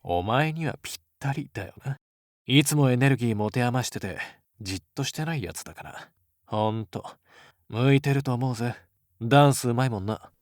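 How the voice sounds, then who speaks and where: A man playing a cool, blunt, athletic teenage schoolboy in an anime-style voice drama, talking in curt, rough slang. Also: mid-range voice, a little fast, dry recording, studio quality, natural voice. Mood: relaxed, casual.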